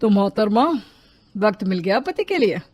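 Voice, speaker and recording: A woman's voice: mid-range voice, big pitch swings, normal speed, darkish tone. A woman voicing a husband in a storybook tale, an affectionate but short-tempered man. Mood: teasing, fond.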